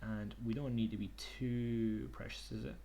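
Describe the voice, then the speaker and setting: A young man casually talking through a screen-share tutorial on a video call, relaxed and chatty. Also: on the low side, moderate pace, slight background noise. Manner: relaxed, chatty, says "okie dokie" and "kind of". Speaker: online workshop tutor.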